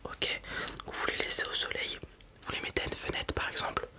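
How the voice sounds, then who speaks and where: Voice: brisk, pushed and bright. A performer voicing a reassuring fantasy dragon breeder in an ASMR roleplay, whispering breathily and explaining things informally, with small hesitations. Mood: reassuring, helpful.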